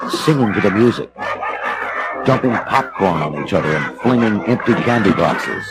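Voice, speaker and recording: A man narrating a children's storybook dramatically, with theatrical, measured delivery. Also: on the low side, animated, heavy background noise.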